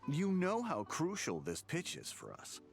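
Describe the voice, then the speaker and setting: A man's voice: on the high side, wildly swooping pitch, measured pace, vocal fry, exaggerated voice. A man playing a demanding, dismissive office boss in a game, talking in a pushy, condescending way over background music. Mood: scolding. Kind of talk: game voice acting.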